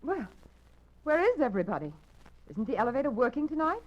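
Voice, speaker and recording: A woman's voice: a little high, sing-song, quick pace, slight background noise. A frightened, stammering young woman. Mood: fearful. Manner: anxious and breathless, stammers. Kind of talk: 1940s radio acting. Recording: dull lo-fi 1940s radio broadcast.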